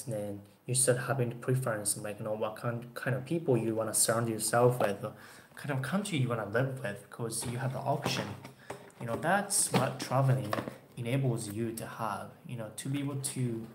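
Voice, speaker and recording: A man's voice: medium pitch, darkish tone. A man in his twenties whose first language is Japanese, chatting casually on a live stream with lots of "you know" fillers, filmed by a webcam in a small room. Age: 20s. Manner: rambling, frequent "you know" fillers, chin in hand. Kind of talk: casual live chat answering viewers. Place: small room with dark backdrop cloth, indoors. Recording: roomy echo, faint background hiss.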